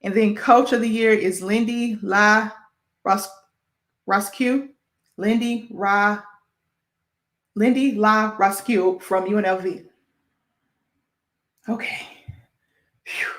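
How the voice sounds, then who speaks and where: Midwest american lady doing a sports show, chatty, kinda self-deprecating, reading off lists, echoey room sound. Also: medium pitch.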